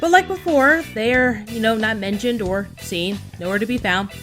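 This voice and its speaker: A giggly woman on a fan recap video, chatting away like she's telling friends about an episode, loud music under her. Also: on the high side, moderate pace. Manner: casual and conversational, giggly.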